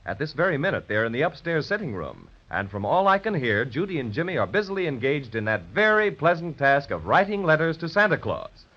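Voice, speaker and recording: A man's voice: fairly high pitch, sing-song, a little fast, few pauses, pushed and bright. A man narrating a children's serial as a theatrical 1930s radio announcer and storyteller. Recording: dull 1930s radio recording.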